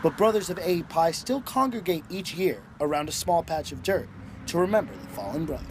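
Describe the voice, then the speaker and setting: A man's voice: on the high side, lively intonation, brisk, slight background noise. A young man reading scripted news narration as a student reporter. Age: young adult.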